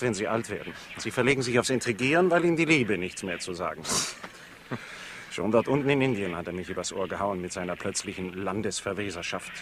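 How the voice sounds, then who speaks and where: A man's voice: lowish voice, fairly bright tone. An actor playing a wry, aggrieved British intelligence man who recounts affairs in a 1960s radio crime play. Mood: grumbling, wry. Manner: wry, opinionated, storytelling. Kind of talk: 1960s German-language radio crime drama acting. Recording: faintly noisy old radio recording.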